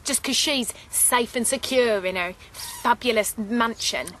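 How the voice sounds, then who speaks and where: A woman's voice: on the high side, measured pace, fairly bright tone, slight background noise. An actress playing an aggrieved working-class young mother in a radio drama, earnest and pressing. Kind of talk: naturalistic radio drama acting.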